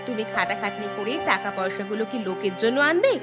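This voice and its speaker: A woman's voice: mid-range voice, expressive, quick pace, dull audio, over music, close to an everyday voice. A woman voicing a nagging, demanding village wife in a cartoon, scolding and insistent. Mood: angry, demanding. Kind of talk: Bengali cartoon dubbing.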